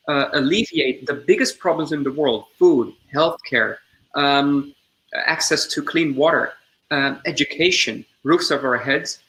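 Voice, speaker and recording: Man in his 40s from the Netherlands, bright, forward voice, earnest webinar guest on a video call. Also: mid-range voice, rough recording. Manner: earnest, explanatory, builds points step by step. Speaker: guest, social entrepreneur. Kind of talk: webinar storytelling and explanation.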